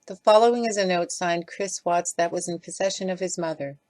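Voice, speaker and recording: A woman's voice: on the low side, a little fast, darkish tone, dry recording. A woman reading scripted commentary as a voice-over. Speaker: video creator doing voice-over commentary.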